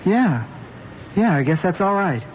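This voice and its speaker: A man's voice: sits high, big pitch swings, unhurried. A young man with an American accent playing an eager, trusting teenage boy in a vintage film, talking in casual youthful slang. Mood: agreeable, hesitant. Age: young adult. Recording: muffled vintage film soundtrack, clear noise.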